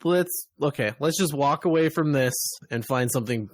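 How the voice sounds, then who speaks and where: A man's voice: on the high side, normal speed, dry recording. A man hosting a casual gaming podcast, speaking in a wry, conversational way. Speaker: podcast host.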